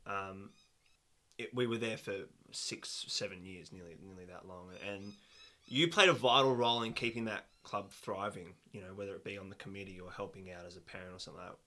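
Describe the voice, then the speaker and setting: A man's voice: fairly low pitch, sharp tone. A young adult Australian man hosting a casual podcast interview and chatting informally. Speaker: podcast host.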